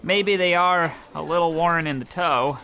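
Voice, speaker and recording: A man's voice: on the high side, a little slow, pushed and bright. A man playing a meek, miserable old postal clerk, fussy and quaintly polite, in an old-time radio comedy style. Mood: meek, bemused.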